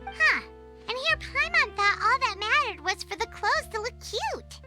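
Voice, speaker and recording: A woman voicing a tiny, excitable fairy companion who talks about herself in the third person. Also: very high voice, a little slow, faint music behind, exaggerated voice. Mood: chatty. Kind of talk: video game voice acting.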